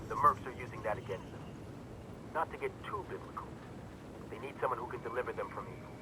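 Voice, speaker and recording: Grim, determined intel handler played by a man, fairly deep, measured game briefings over noisy ambience. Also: lightly acted. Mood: resolved. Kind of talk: video game cutscene acting.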